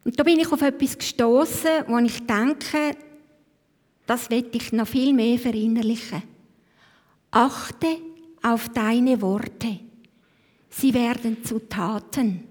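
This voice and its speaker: A Swiss woman leads a church service, her slightly high voice reading scripture at a slow, thoughtful pace. Her phrase ends sink to a near whisper. The sound carries the long reverb of a church hall. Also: a little mellow. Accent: Swiss German. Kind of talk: devotional talk and scripture reading.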